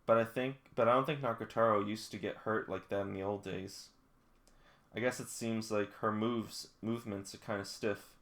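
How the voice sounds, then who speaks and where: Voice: medium pitch, steady tone, normal speed, voice pushed down, close to an everyday voice. A reader voicing a tough, composed teenage girl who speaks bluntly and matter-of-factly in a comic read-along. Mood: serious.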